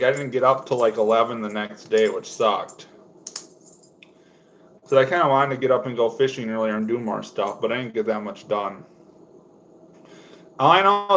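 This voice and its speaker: A young man from Wisconsin with a low voice, chatting casually on a home livestream while making fishing lures, rambling with lots of fillers. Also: fairly bright tone, slight background noise, rough recording. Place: indoors at home.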